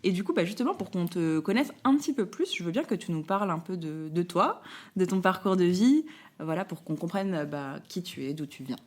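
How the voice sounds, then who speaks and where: A woman hosting a casual interview, encouraging and quick to chime in with agreement. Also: on the low side, lively intonation, a little mellow. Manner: quick, encouraging, lots of backchannel. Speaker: interview host.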